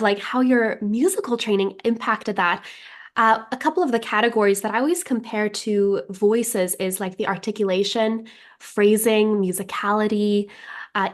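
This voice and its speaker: Woman podcast host, keen and chatty, says like a lot, voice on the high side. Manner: enthusiastic, reflective, frequent "like" fillers. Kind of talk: podcast interview.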